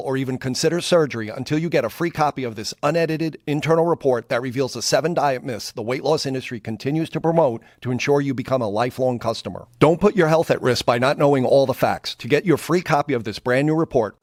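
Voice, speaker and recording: Guy doing a calm serious ad voiceover, urgent but measured, dry close sound. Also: mid-range voice, no echo. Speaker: commercial voiceover announcer. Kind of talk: scripted ad voiceover.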